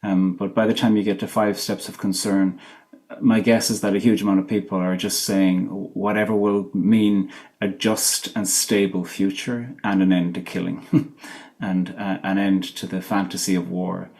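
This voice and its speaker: Quiet irish guy on a video call, low voice, gentle and soft-spoken, teaching a class. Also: little pitch movement, normal speed. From Ireland. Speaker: guest teacher, poet. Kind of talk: informal talk to a class.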